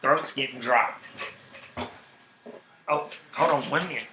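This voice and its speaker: A man with a heavy Southern accent chatting casually on his live stream, rambling and animated, repeating himself when worked up. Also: medium pitch, takes it slowly, roomy sound, a little hiss or hum, low-quality recording. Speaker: YouTube streamer.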